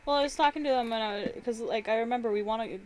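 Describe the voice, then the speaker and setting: A woman's voice: on the high side, fast, faint music behind. A young woman chatting casually while drawing on a live stream, rambling through stories and laughing often.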